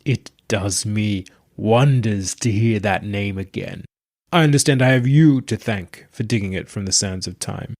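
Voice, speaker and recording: Man voicing gravelly, slow-spoken ancient god. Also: darkish tone, no room sound, studio quality. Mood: smug, courtly. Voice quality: gravelly and deep. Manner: slow, pompous, courtly phrasing. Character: ancient god possessing a man, smug, formal, menacing.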